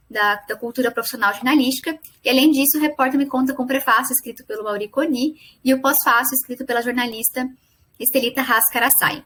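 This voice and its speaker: High-pitched young Brazilian woman presenting. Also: fairly bright tone, a little hiss or hum. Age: young adult. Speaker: student presenting a final project. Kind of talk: academic presentation.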